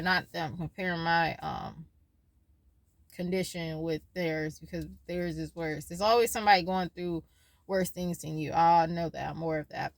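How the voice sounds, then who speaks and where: A woman in her late twenties talks to camera in a loose, wandering vlog, circling back and forgetting what she meant to say. Her voice sits a little low, with a worried, faith-leaning tone. The sound is close and dry, with no room around it. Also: fairly bright tone, dry recording. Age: 20s. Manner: rambling, loses her train of thought; worried, faith-filled musing. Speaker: vlogger. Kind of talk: casual personal vlog.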